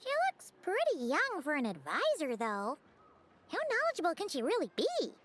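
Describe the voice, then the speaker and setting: Brief: a tiny boastful fairy sidekick, very high and squeaky, wildly bouncy, game music behind. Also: female voice, normal speed, heavily acted. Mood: curious. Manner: excitable, whiny, speaks of herself by name. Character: tiny floating female companion, chatty and boastful. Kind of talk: game voice acting.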